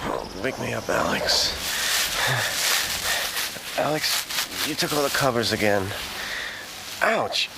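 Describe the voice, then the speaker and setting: A man's voice: medium pitch, expressive, slow, vocal fry, long pauses, heavy background noise. A male actor playing a flustered young American man in a comic radio drama, stammering and exasperated. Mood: groggy, panicked. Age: young adult. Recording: music behind the voice.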